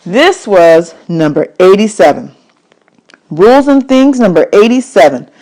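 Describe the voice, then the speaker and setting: A woman reading a children's novel aloud as an expressive audiobook, with deliberate, emphatic storytelling. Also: medium pitch.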